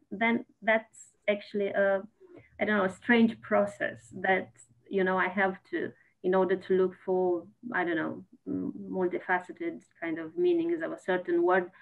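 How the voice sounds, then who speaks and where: A middle-aged woman, a native Romanian speaker, talking hesitantly on a panel over a video call from her home. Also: mid-range voice. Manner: thoughtful, hesitant, searching for words. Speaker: poet. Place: home room. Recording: tinny phone-like video call audio.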